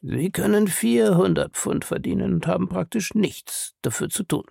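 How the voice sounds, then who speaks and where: A man voicing a shady, pushy male schemer in an audiobook, insistent, ironic and scornful, with a gravelly voice. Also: high voice, moderate pace, dark tone, dry recording, studio quality, voice pushed up, exaggerated voice. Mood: quietly persuasive. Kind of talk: audiobook character dialogue.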